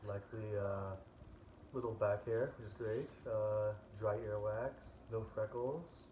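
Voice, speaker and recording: A man's voice: lowish voice, steady tone, takes it slowly, warm tone, roomy sound, highs cut off, a little hiss or hum. A man co-hosting a casual chat, easygoing, reading items aloud with some uhs. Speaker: co-host.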